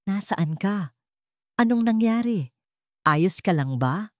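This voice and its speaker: A woman's voice: medium pitch, expressive, slow, no room sound, clean studio sound, pitched above the performer's own voice. A woman voicing a protective, devoted male lawyer with concerned, earnest delivery in an audiobook drama. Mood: worried surprise.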